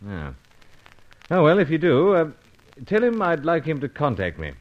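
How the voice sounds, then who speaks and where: An actor playing a suave, resolute British secret agent with a clipped, measured delivery in an old radio serial. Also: male voice, a little high, wildly swooping pitch, dull audio. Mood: smooth, flirtatious. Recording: noisy old radio recording with clicks.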